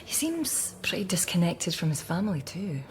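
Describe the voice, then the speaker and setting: Quick-witted, teasing Scottish female detective, radio drama, light noise behind. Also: fairly low pitch, sing-song.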